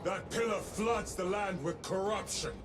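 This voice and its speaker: A stern space marine warrior who speaks grimly and tersely. His voice sits a little high. It sounds distant and echoing, with music behind. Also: a little slow. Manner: grim, terse. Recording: distant reverberant game audio.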